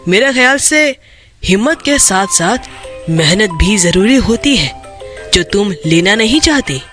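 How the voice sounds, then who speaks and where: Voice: medium pitch, animated, a little slow, fairly bright tone, faint music behind, pitched below the performer's own voice. A storyteller voicing an honest, hardworking woodcutter who speaks earnestly and respectfully. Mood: firm.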